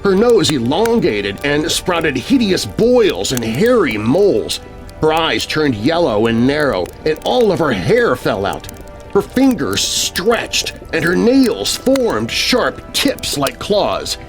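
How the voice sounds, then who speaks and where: A man's voice: a little high, wildly swooping pitch, slow. A man narrating a scary children's story in a dramatic, scripted first-person style. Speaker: narrator of children's horror stories. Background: music bed.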